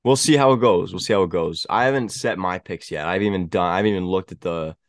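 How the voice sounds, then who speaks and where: Stuffy young man with a deep voice. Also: no room sound, studio quality. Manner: halting, many restarts and fillers. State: stuffy head cold. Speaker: podcast host. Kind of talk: casual sports chat.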